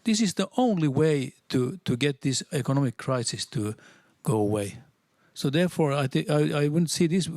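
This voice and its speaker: Native Swedish speaker, a man, audience comment in English; earnest, halting delivery, close dry sound. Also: medium pitch, vocal fry, a little mellow, dry recording. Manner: halting, many restarts, earnest and persuasive. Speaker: audience member at a seminar. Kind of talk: spontaneous public comment.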